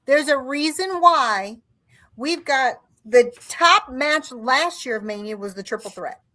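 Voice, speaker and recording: A woman's voice: high voice, animated, measured pace, pushed and bright, rough recording. A woman co-hosting a casual opinionated podcast, arguing emphatically with a sarcastic edge. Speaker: podcast co-host.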